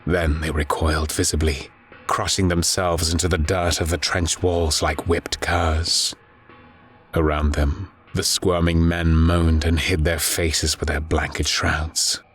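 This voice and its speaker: A man narrating a scripted horror audiobook in a grave, measured storytelling manner. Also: a bass voice, little pitch movement, a bit bright.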